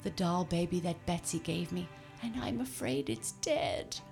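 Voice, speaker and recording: A woman's voice: low-pitched, expressive, moderate pace, vocal fry, faint music behind. A woman voicing a forlorn, timid young male scarecrow who talks in anxious, tearful pleas. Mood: sad, meek.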